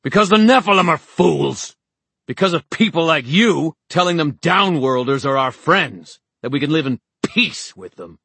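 A male narrator plays a bigoted, fanatical leader in a fantasy audiobook. The voice is raised far above normal pitch, loud and strident, ranting with self-righteous fury. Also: creaky, breathy edge, no echo, exaggerated voice. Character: fanatical, bigoted, screaming father, a political leader.

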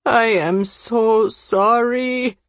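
A woman narrator acts out an elderly man weighed down by guilt in an audiobook. The delivery is very slow and halting, tearful and sorrowful, in a dry recording. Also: mid-range voice, creaky, no echo. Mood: sobbing, guilty. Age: older. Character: grief-stricken guilt-ridden elderly Indian man confessing a secret. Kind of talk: audiobook character acting.

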